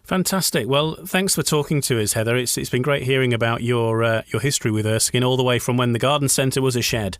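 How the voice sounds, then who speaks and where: Male radio interviewer, medium pitch, relaxed conversational delivery and encouraging manner, recorded dry. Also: brisk, hardly any pauses, no room sound. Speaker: radio host. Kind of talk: casual radio interview chat.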